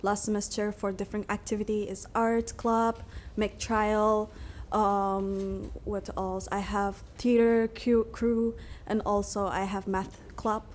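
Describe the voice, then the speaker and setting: Young woman, Somali native speaker, halting English, mid-pitched voice, faint room noise. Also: level tone. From Turkey. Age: young adult. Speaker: graduating student. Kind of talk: speaking at a public meeting. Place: meeting room.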